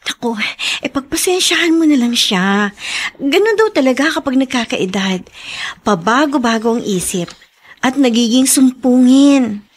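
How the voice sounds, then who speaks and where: An actress playing a caring, anxious young wife in a radio drama, coaxing and fussing with concern. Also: female voice, high voice, expressive, unhurried, husky, full character voice. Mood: concerned, apologetic.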